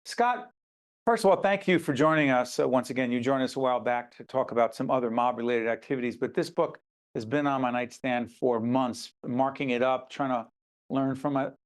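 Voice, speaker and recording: A man's voice: medium pitch, a little fast. A man who grew up in Newark, hosting an interview with an author in an emphatic, interjecting way. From Newark, New Jersey. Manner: emphatic, interjecting, directs the conversation. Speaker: host.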